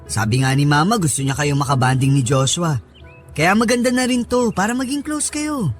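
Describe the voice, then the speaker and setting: A man's voice: a little high, moderate pace, soft background music, natural voice. A man playing an affectionate young husband in a radio drama, talking in a casual, coaxing, reassuring way.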